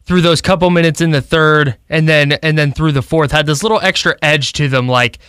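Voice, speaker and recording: A man's voice: a little high, a little fast, a bit bright, clean tone, dry recording, studio quality. An American man co-hosting a sports radio show in a casual conversational style.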